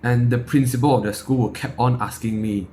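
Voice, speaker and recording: A man's voice: medium pitch, fairly even intonation, normal speed, warm tone. Young Malaysian man with noisy audio. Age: late teens. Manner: blunt, sweary, emphatic. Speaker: self-improvement vlogger. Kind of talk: unscripted personal opinion talk to camera.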